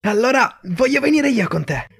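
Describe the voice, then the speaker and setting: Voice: mid-range voice, very animated, moderate pace, slightly rough, full character voice. A performer voicing a young fairy-tale prince, earnest and pleading, in a children's cartoon. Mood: worried. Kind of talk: children's cartoon character acting.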